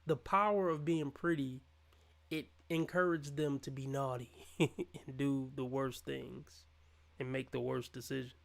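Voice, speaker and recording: Gravelly mocking male streamer. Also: medium pitch, steady tone, unhurried. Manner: mocking, scornful ranting. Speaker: livestream commentator. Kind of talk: reaction commentary.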